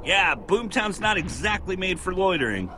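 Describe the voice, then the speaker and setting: An actor playing an excitable, laid-back big young guy, a goofy and slangy sidekick in an animated video game. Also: high voice, moderate pace, full character voice. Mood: cheerful, joking. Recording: game audio with background noise.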